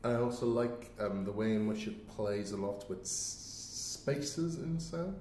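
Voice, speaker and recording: A man's voice: on the low side, lively intonation, a little slow, warm tone, slight background noise. A man co-hosting a podcast, chatting casually about audio plays. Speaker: podcast co-host. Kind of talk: casual discussion of radio plays. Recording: echoey room.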